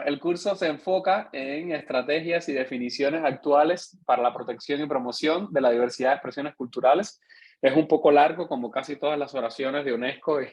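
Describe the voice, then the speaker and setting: A man with a Cuban accent teaches an online course with a husky, slightly rough voice. His explanations are patient and thorough, and he keeps turning back to his listeners to make sure they follow. The sound is dull and lo-fi, like audio from a video call. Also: fairly high pitch. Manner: explanatory, checks in with listeners by tagging questions. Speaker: course instructor. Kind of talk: online lecture.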